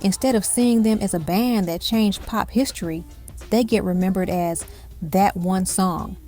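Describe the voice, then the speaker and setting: Mellow woman narrating a music countdown. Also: medium pitch, a little slow. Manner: evocative storytelling in short dramatic phrases.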